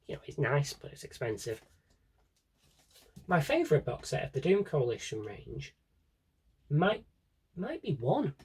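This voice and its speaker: A man of medium pitch talks in a casual, rambling vlog style. His voice is a little husky and unpolished. He drops in dry, wry asides as he goes, like a fan walking through a collection. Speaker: audio drama collector and fan. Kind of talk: casual rambling shelf-tour vlog chat.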